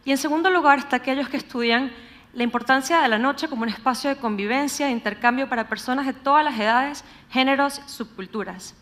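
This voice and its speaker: A young Venezuelan woman speaks in a fairly high voice with a Caracas accent. She delivers a prepared public talk as a conference speaker. Her way of talking is measured and explanatory. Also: a bit bright. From Venezuela, grew up in Caracas. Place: on stage at a live talk event.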